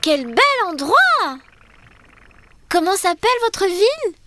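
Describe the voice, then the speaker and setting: A woman's voice: very high voice, big pitch swings, takes it slowly, over loud music, exaggerated voice. A woman voicing a cheerful, curious young girl in a children's cartoon, with enthusiastic, wide-eyed delivery. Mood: curious, eager.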